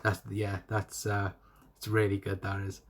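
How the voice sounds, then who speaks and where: Young South Welsh man with a breathy, slightly low voice who rambles and repeats himself while hosting a solo fan stream. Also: monotone, unhurried. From South Wales. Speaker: fan channel host. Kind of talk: solo livestream, reading chat comments.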